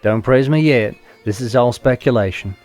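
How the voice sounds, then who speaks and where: An actor playing a confident, shrewd lawyer in an audio drama, assured and businesslike. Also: male voice, mid-range voice, moderate pace, a little mellow, faint music behind, close to an everyday voice. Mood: confident, measured. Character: confident, shrewd male lawyer advising his client.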